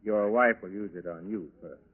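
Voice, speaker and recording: Male, low register, acting a gentlemanly gunman burglar, chatty yet menacing; thin vintage radio sound. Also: natural voice. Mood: calm, chatty. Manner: courteous, unhurried, chatty while menacing. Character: polite, cool-headed male burglar with a gun. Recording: muffled 1940s radio broadcast recording.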